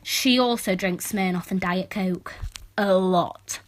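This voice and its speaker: A woman's voice: medium pitch, takes it slowly, voice pushed down, lightly acted. A woman voicing an exasperated, sardonic sixteen-year-old girl in an audiobook, telling her story in a wry, emphatic, complaining way. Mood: scandalised, exasperated. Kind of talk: first-person audiobook narration.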